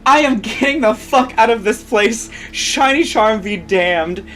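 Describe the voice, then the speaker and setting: A woman's voice: on the high side, animated, unhurried, fairly bright tone, slight background noise. A woman voicing a young female monster trainer in a storybook reading, exclaiming and swearing, frightened and sarcastic. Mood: exasperated.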